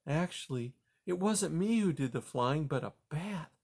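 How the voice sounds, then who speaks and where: A man doing a soft old grandmother mouse. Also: on the high side, animated, unhurried, gravelly, breathy edge, voice pushed up, exaggerated voice. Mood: gentle. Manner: soft, gentle, matter-of-fact. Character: calm elderly grandmother mouse.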